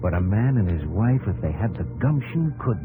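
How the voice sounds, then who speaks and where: An actor playing a gentle, plain-spoken frontier army scout in a folksy rustic drawl, in the style of 1950s radio drama. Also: male voice, on the low side, sing-song, moderate pace, noisy background. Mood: earnest, hopeful. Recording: muffled old 1950s radio recording.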